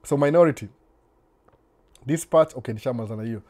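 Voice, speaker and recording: Mellow-voiced man, relaxed live-stream chat. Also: medium pitch. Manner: relaxed, unhurried, switches between Swahili and English mid-sentence; reads viewer comments aloud and responds to them. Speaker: live-stream host answering viewer questions.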